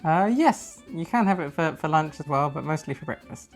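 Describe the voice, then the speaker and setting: A man's voice: on the high side, expressive, moderate pace. A man from the London area with a southern English accent, chatting casually and explaining things in an informal, hesitant way. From London area, England. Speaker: guest, native English speaker. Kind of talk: casual chat. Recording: music behind the voice. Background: guitar music.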